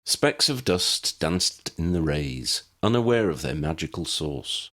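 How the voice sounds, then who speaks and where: A man narrating an audiobook in an expressive, deliberate storytelling manner. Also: extremely low pitch. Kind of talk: scripted storybook narration with character voices.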